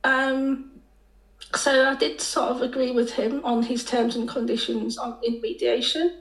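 A woman calling in with a croaky voice after being ill, speaking haltingly with many pauses. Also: high-pitched, fairly even intonation, a little hiss or hum. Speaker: caller. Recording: echoey call audio.

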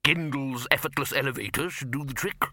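A man voicing a measured male wizard chairing the group, hesitant and reasoning. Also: medium pitch, bright, forceful tone, no room sound, clean studio sound. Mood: puzzled.

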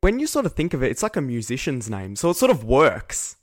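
A man's voice: mid-range voice, wildly swooping pitch, fairly quick, no room sound, studio quality. A man interviewing a guest in a casual chat, asking easygoing, encouraging questions.

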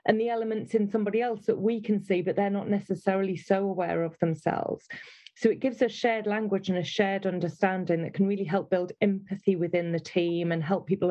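A woman's voice: medium pitch, warm tone. A middle-aged woman from Northeast England, a business owner, speaking reflectively and articulately as a podcast guest.

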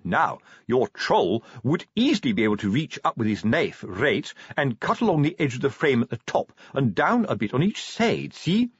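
A man's voice: mid-range voice, big pitch swings, normal speed, few pauses, husky, no room sound, voice pushed up. Guy doing a pompous thick copper, middle aged, lecturing away, voice goes up and down loads, bit muffled. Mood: pompous explaining. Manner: pompous, explanatory, tag questions. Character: self-important, slow-witted middle-aged male police sergeant, lecturing.

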